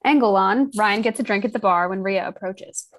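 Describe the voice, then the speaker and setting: A woman's voice: mid-range voice, normal speed. A woman reading out scene directions as scripted narration at a screenplay table read. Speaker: reader of stage directions.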